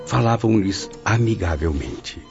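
A man acts a grave, earnest male spirit in a radio drama. His voice is deep. The recording is muffled, with faint music. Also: slow, vocal fry. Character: grave male suicide spirit, humbly questioning and recounting. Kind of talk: radio drama acting and narration.

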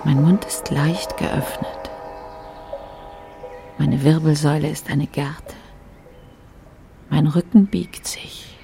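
An actress playing a wife absorbed in her yoga, speaking in a breathy, hushed voice. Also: low-pitched, steady tone, slow, pauses often, over music, heavily acted. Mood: entranced. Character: self-absorbed wife intently meditating through yoga.

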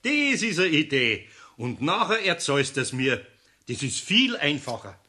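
An actor playing an older, gruff but kindly master carpenter in a radio play, with a Bavarian accent and a homely, grumbling manner. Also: male voice, a little high, sing-song, a little slow, pushed and bright, heavily acted. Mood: exasperated.

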